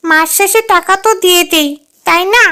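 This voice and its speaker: A woman plays a haughty, stingy cartoon mistress of the house. She scolds in an extremely high, piercingly bright voice. Music runs underneath. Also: brisk, heavily acted. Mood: scolding. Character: stingy, bossy female animal employer. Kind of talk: children's cartoon voice acting.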